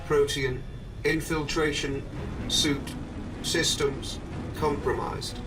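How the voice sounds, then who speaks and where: A man voicing a calm, formal artificial intelligence assistant in a video game, measured, precise and emotionless. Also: on the high side. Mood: halting, flat. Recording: music behind, slightly distant voice.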